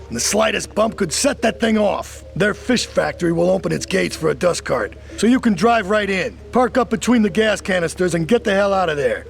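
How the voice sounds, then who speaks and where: A man's voice: high voice, gravelly. A man playing a tough mob lieutenant who gives blunt orders in a game cutscene.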